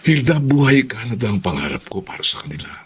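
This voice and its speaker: A man's voice: mid-range voice, sing-song, fast, slight background noise, close to an everyday voice. A man playing an ambitious, earnest middle-aged father in a Filipino radio drama. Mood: determined. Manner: earnest, persuasive. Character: determined, ambitious Filipino father working abroad.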